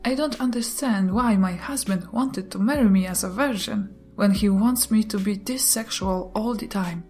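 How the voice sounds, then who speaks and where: A woman whose first language is not English, reading online posts and comments aloud in a steady way, with brief chatty asides. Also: medium pitch, normal speed, soft background music. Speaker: YouTuber reading Reddit posts.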